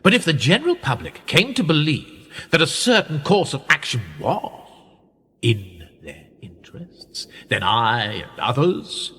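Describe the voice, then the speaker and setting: An actor in a radio play voicing a worldly, powerful establishment insider, speaking in a pedantic, suavely condescending way. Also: male voice, a little high, big pitch swings, measured pace, fairly bright tone, breathy edge, full character voice. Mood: sly, assured. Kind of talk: radio drama dialogue. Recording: long reverberant hall, music underneath. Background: music.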